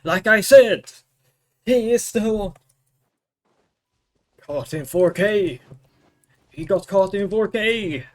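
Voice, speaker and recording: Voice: mid-range voice, slow, pauses often. A game streamer reacting casually and with slang to gameplay.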